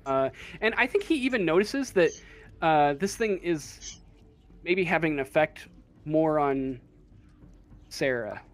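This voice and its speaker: A man improvising narration as a tabletop game master, halting as he searches for words. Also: a little high, expressive. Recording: faint room noise.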